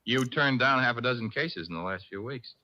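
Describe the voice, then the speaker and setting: A man's voice: mid-range voice, lively intonation, brisk, slight background noise, close to an everyday voice. A man playing a wisecracking young assistant. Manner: sarcastic, teasing. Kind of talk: 1940s radio acting. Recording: dull lo-fi old radio recording.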